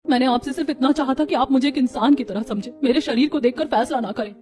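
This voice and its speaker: Woman voicing an earnest, plaintive young wife, high-pitched. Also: quick pace, darkish tone, soft background music, lightly acted. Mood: earnest, firm. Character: hurt young daughter-in-law finding confidence, cartoon.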